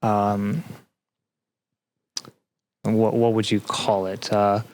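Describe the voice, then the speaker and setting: A man's voice: on the low side, level tone, extremely slow, a little mellow. A man chatting casually as an interview guest, often hesitant and searching for words. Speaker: podcast guest, student representative.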